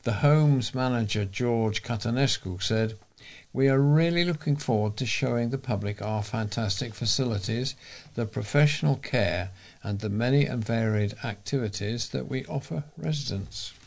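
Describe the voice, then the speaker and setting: A man's voice: medium pitch, moderate pace, gravelly, darkish tone. A man reading local news articles aloud as a volunteer reader, in a formal delivery.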